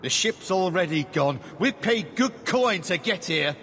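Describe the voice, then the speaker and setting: High-pitched protesting refugee, reverberant. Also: male voice, lively intonation, a little slow, a bit bright, full character voice. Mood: indignant. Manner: protesting, indignant. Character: aggrieved, desperate male refugee in a crowd. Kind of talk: video game character acting. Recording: long reverb, faint noise.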